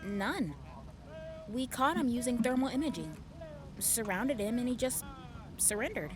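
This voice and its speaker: An actress playing a curious young woman soldier in an audio drama, asking quick, friendly questions. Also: on the high side, expressive, background noise. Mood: puzzled.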